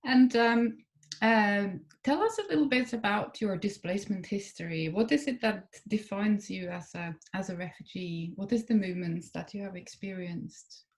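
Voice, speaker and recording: A woman professor hosting a public conversation, asking questions gently and hesitantly with many fillers. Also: mid-range voice, normal speed. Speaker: professor and interviewer.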